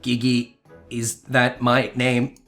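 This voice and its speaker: A man voicing a timid, confused young boy with lost memory in a video game, halting and repeating himself. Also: medium pitch, monotone, a bit bright, faint music behind. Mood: puzzled. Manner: hesitant, repeating words.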